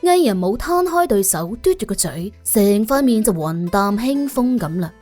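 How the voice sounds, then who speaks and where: A woman narrating a suspense audiobook in an expressive, colloquial storytelling style. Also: mid-range voice, normal speed. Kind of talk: scripted suspense storytelling in Cantonese. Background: music under the voice.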